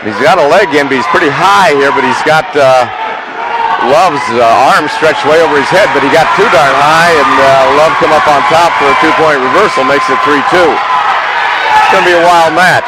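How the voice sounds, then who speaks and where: Male wrestling analyst amid very loud crowd. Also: a little high. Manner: conversational, halting, analytical asides. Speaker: color commentator. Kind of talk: live wrestling radio commentary. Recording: noisy live sports venue, loud crowd. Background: loud crowd and venue noise.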